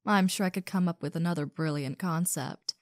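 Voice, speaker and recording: A vain diva of the theatre, acted by a woman for an audio drama. Her voice sits fairly low and is recorded dry, with a haughty, theatrical delivery that suggests she is always scheming. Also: a little fast, no room sound, close to an everyday voice. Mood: smug. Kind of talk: audio roleplay drama acting.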